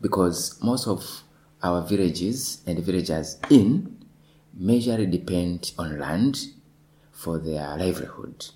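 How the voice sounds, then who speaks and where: A lawyer in his thirties answering interview questions deliberately, often repeating words as he searches for them. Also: sits low, expressive, dark tone. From northwestern Tanzania.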